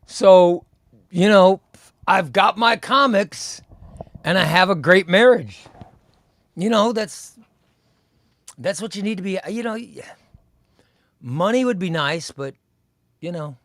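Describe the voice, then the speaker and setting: A man in his fifties chatting on a live comics stream. He talks at an unhurried pace in a slightly high voice, rambling and circling back over his own phrases as he reads comments aloud. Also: animated, fairly bright tone. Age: 50s. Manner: rambling, repeats phrases, slow and easygoing. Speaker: comics livestream host. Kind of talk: casual chat reading live comments.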